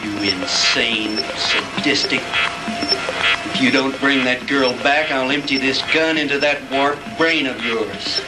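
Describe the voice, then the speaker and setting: A man playing a menacing movie tough guy speaks with emphatic outrage. His mid-range voice echoes from a little way off, in 1960s B-movie style with loud music behind. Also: mid-range voice, takes it slowly, close to an everyday voice. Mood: furious. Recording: echoey, distant old film sound, loud music.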